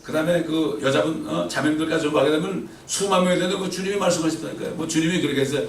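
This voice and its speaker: A middle-aged pastor delivers an emphatic sermon, his voice sitting a little high and rising to loud peaks before dropping to quiet asides. He keeps turning questions back to the congregation. It is picked up from a distance in a roomy church, with a faint hum of ambience behind him. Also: steady tone, racing, creaky. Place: church sanctuary. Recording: roomy church echo from far off.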